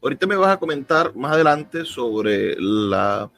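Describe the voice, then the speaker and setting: A Venezuelan man with a medium-pitched voice speaks as a radio interviewer. His delivery is semi-formal and deliberate, with long questions, and music plays behind him. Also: very animated, a bit bright.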